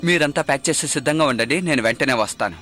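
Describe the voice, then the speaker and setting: A man's voice: medium pitch, very fast, close to an everyday voice. A man playing a determined, earnest teenage boy hero in a dubbed cartoon, with an emphatic delivery. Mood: earnest, resolute. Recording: loud music over the dialogue.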